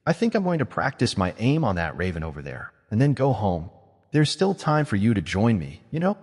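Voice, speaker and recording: A man's voice: lowish voice, huge pitch swings, brisk, a little mellow, breathy edge, big-room sound, exaggerated voice. A man voicing a vain, playful witch woman who has been made young again, teasing and self-satisfied. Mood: mock-aggrieved.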